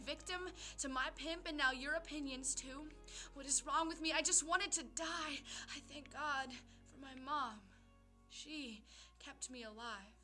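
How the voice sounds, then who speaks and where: A woman performing a slam poem in an earnest, dramatic way, with rhythmic rhymed phrasing that moves between emphatic and hushed. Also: sits high. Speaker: spoken word poet.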